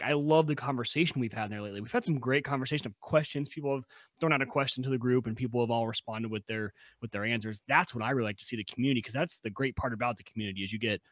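A young man co-hosting a casual tech podcast, explaining eagerly over a video call on a boom-arm studio mic in a home workshop. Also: fairly bright tone, no room sound. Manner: quick, enthusiastic explaining. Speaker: podcast co-host. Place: home workshop room with pegboard.

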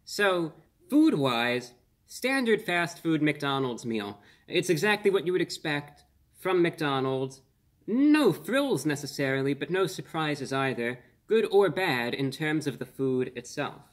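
A man in his twenties hosting a casual food review on camera, speaking in an earnest, measured way with slightly formal phrasing. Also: on the high side, very animated. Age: 20s. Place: small indoor room.

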